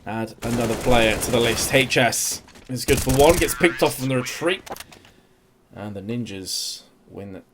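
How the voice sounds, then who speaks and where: A man's voice: medium pitch, a little slow, background noise. A young man casting a live esports match, his energy rising and falling with the action. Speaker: esports caster. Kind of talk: live play-by-play commentary.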